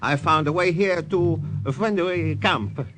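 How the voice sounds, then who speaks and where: A sly, gloating Japanese wartime colonel played by a male actor in an old radio play. His voice sits fairly high and his English is clipped and stilted, with music behind him. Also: a little slow, close to an everyday voice. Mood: smug menace. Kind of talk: 1950s radio drama acting.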